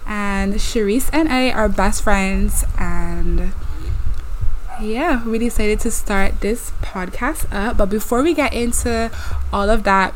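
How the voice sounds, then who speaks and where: A woman's voice: medium pitch, slight background noise, low-quality recording. A woman in her twenties with a Barbadian accent, co-hosting a podcast in casual chat, laughing often and telling stories with animation. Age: 20s. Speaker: podcast co-host.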